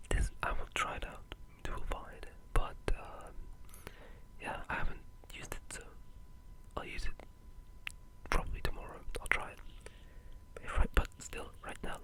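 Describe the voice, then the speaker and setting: A breathy, unvoiced whisper in a casual, rambling ASMR video game discussion, with an enthusiastic gamer's way of talking. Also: poor audio.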